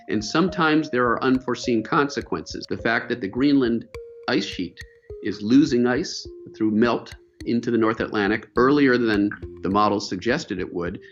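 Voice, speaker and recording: A man, a climate scientist, explaining fluently and emphatically in a documentary interview. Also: fairly low pitch. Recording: dull lo-fi video audio with faint music.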